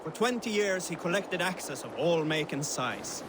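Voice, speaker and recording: A man's voice: on the high side, expressive, a little slow. A man playing a Viking raider who tells a boastful tale in a dramatic storytelling style, in a video game. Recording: loud water and ship noise behind.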